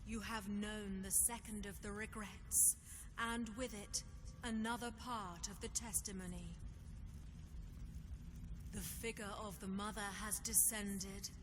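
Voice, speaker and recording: A woman playing a stately, mystical oracle, giving measured, formal prophecy in a video game cutscene. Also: mid-range voice, close to an everyday voice. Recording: long reverb, noisy ambience behind.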